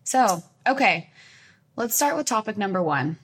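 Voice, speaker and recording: A young woman, chatting easily on a podcast and chiming in fast with agreement. Her voice sits at a mid pitch. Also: big pitch swings, breathy edge. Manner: chatty, agreeable, quick interjections. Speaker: podcast co-host. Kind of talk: casual chat.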